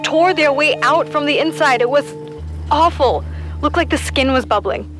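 A woman's voice: high-pitched, moderate pace, faint music behind, close to an everyday voice. An actress playing an uneasy, grimly urgent female lab assistant in an audio drama. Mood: grim, appalled.

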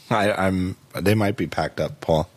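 A slightly hoarse man hosting a podcast in casual chat, rambling with frequent restarts and self-corrections. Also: low voice, slow, no echo. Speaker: podcast host.

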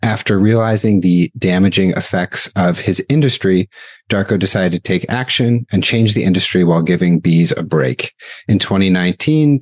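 A man with a very low voice hosts an interview podcast. He reads a prepared opening and then puts plain, steady questions to his guest. The sound is dry and close. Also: no echo. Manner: plainly spoken, reads a scripted intro. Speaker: podcast host and investor.

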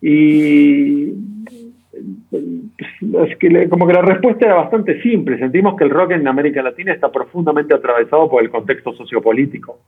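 A man's voice: fairly high pitch, normal speed, husky. A man with an Argentine accent, answering interview questions conversationally as a guest. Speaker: interview guest, documentary director. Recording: tinny, narrow phone-like call audio.

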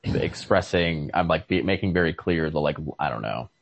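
A man in a casual, analytical literary conversation as a podcast host, often halting to search for words. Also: very deep voice, gravelly, dry recording. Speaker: podcast host discussing a short story. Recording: lo-fi podcast recording.

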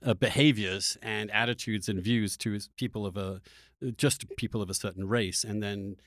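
A man hosting a conversational interview, halting and repeating words as he works through an argument. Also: fairly low pitch, fairly even intonation, fairly bright tone, dry recording, clean studio sound. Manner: halting, repeats words while arguing a point. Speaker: podcast host.

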